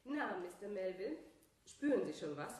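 A woman's voice: medium pitch, big pitch swings, a little mellow, close to an everyday voice. Actress as a composed, didactic woman scientist. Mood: patient, superior. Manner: didactic, measured, with small rhetorical tags. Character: composed woman scientist, explaining patiently, slightly condescending. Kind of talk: radio play acting.

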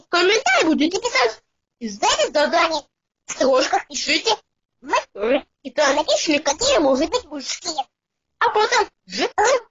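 Very high pitch, lively intonation, bright tone, homemade cartoon teacher, dull noisy recording.

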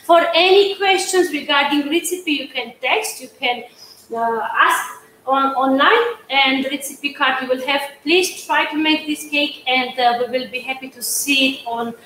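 A woman's voice: high voice, measured pace, pushed and bright. A middle-aged woman from Georgia with a Georgian accent, demonstrating a cake in chatty, broken English and coaxing her viewers along. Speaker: pastry chef. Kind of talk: live cooking demonstration. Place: large studio kitchen, indoors. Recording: echoey studio kitchen, faint hum.

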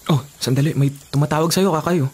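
An actor playing a polite, skeptical, easygoing young boyfriend in a Filipino radio drama. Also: male voice, on the high side, fast, breathy edge.

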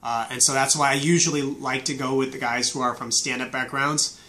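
A man's voice: mid-range voice, fairly even intonation, brisk, bright, forceful tone. A man in his thirties, stuffy from a flu, casually reviewing fights with many fillers and restarts, in a small room on a webcam.

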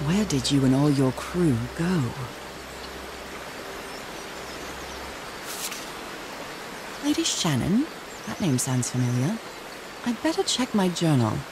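An actress playing a wistful, curious 1930s woman explorer in a video game, thinking aloud in a gentle, articulate way. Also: low voice, very animated, moderate pace, background noise. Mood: anxious, hopeful. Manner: gentle, articulate, musing, wonder-struck.

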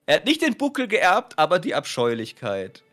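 Guy gaming and joking around, voice on the high side, really up and down, playfully pompous. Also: wildly swooping pitch, normal speed, fairly bright tone. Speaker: gaming streamer, co-player. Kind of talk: casual joking gameplay chat. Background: game music.

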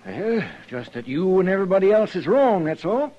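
A fussy, high-voiced depot clerk. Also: male voice, very animated, brisk. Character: fussy older male railroad depot clerk, talkative. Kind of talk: 1950s radio drama acting. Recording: dull vintage radio transcription.

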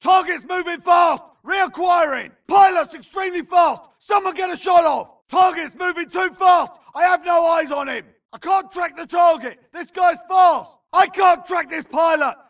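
Shouting, high-pitched grunt soldier. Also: male voice, moderate pace, hardly any pauses, far from the mic. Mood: urgent alarm. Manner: urgent, clipped shouting. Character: gruff, urgent infantry grunt soldier in battle. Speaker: video game soldier. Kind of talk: shouted combat barks.

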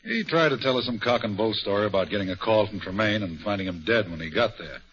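An actor playing a confident, blustery police inspector in a 1940s radio drama. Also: male voice, fairly low pitch, fast talker, natural voice. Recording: dull lo-fi vintage radio broadcast.

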